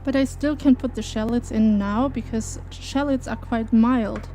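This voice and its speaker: High-voiced German woman, slow and chatty. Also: steady tone, mellow, slight background noise. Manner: chatty, laughs often, thanks viewers. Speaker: cooking streamer. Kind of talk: casual live-stream chat while baking. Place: home kitchen.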